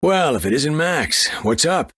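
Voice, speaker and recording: A man's voice: on the high side, sing-song, unhurried, a bit bright, husky, no echo, full character voice. A man voicing a blunt, matter-of-fact duck doctor in a video game. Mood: breezy. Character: blunt, gloomy duck doctor, middle-aged male. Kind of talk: video game character acting.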